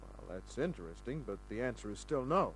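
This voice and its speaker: An actor playing a cool, sardonic private detective in an old-time radio crime drama. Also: male voice, medium pitch, sing-song, a little fast, faint music behind, poor audio. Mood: dry, mocking. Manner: dry, sardonic, unruffled.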